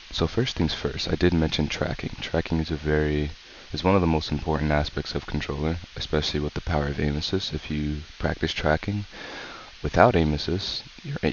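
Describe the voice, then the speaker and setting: A man hosting a gaming channel, sick with a sore throat and talking calmly and softly as he coaches through a tutorial. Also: a bass voice. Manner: calm, slow, soft-spoken coaching. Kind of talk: casual tutorial commentary. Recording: dull lo-fi mic with faint hiss.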